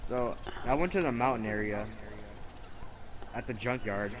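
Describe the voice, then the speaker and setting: A man telling an improvised story casually during a game, rambling and halting as he corrects himself. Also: medium pitch, expressive, background noise.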